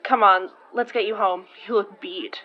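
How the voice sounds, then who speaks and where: Casting: actress as wry, protective young female sidekick for audio fiction, informal and blunt, medium-pitched voice. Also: fairly even intonation, normal speed, highs cut off, some chatter behind, close to an everyday voice. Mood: relieved, wry. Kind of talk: audio fiction dialogue acting.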